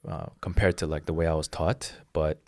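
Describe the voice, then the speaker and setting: A man's voice: very deep voice, steady tone, a little fast, no room sound, clean studio sound. A man in his thirties, a jiu-jitsu instructor, explaining his philosophy earnestly in a casual interview with frequent restarts. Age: 30s.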